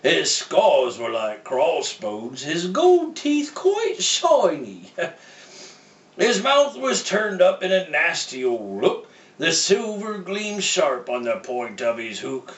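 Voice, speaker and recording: A man's voice: on the high side, wildly swooping pitch, slow, full character voice. A man voicing a jolly old pirate, Santa's seafaring cousin, reading a storybook aloud in folksy pirate slang, tagging his lines with "eh?". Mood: storytelling. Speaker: storybook reader. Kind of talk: in-character read-aloud. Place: room, reading to a computer. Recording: roomy, mic at a distance.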